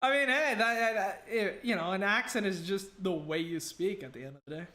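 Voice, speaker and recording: A woman with a Wisconsin accent giving casual, wry let's play commentary, thinking out loud as she plays. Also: medium pitch, a little fast. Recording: roomy room with game music. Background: game music.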